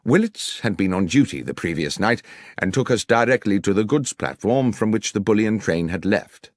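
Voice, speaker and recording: A man's voice: lowish voice, expressive, normal speed, no room sound, studio quality. A man reading a scripted detective audiobook as its narrator.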